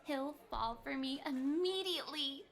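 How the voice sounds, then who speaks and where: A woman's voice: high-pitched, measured pace, fairly bright tone. A woman voicing a lovesick, cheerfully deranged female cartoon pig with a sweetly dramatic manner. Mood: smitten, plotting. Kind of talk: cartoon monologue, diary narration.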